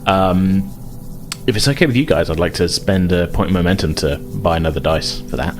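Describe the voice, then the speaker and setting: A low male voice runs a tabletop role-playing game over a music bed. He narrates as he improvises, feeling his way tentatively through scenes and dice rules. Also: brisk. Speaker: game master. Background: music under the voice.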